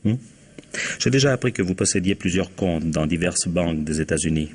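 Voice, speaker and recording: Radio mystery inspector: low, husky, shrewd and self-assured, laying out his case with measured, probing confidence. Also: animated. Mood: methodical, confident. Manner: measured, probing, ends points with "hein?", quietly triumphant. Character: shrewd, self-assured male police inspector explaining a crime. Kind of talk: French mystery radio play acting.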